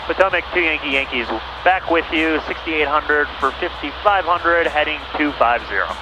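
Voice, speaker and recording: A man's voice: fairly high pitch, moderate pace, over music. A man flying a small plane, speaking casually and in clipped radio calls over an aviation headset. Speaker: private pilot showing a friend the flight. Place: inside a small plane in flight. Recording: noisy light aircraft cockpit headset audio. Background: steady engine noise.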